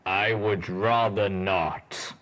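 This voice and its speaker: A man voicing a taciturn male ghoul pony ranger who speaks slowly in a gruff rumble. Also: fairly even intonation, fairly bright tone, full character voice. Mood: dry. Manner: slow, measured, rumbling.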